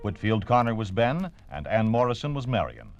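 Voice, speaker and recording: A man's voice: on the low side, very animated, normal speed, muffled recording. An American man announcing in 1950s radio style, reading a scripted narration in a solemn, formal, emphatic way. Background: music.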